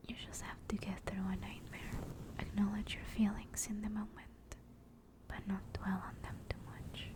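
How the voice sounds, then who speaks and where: Woman voicing a tender female sleep demon, steady whisper, unhurried, soothing, reassuring, ASMR roleplay. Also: mid-range voice, lightly acted, low-quality recording. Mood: calm, earnest. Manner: soft, slow, soothing, reassuring. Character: gentle, affectionate female sleep paralysis demon comforting someone.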